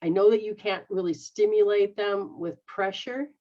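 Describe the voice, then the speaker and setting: A woman's voice: medium pitch, fairly even intonation, normal speed, dark tone, lo-fi sound. A middle-aged woman, a metaphysical practitioner, explaining healing techniques in a rambling, casual way and checking that she is understood. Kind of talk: casual instructional chat.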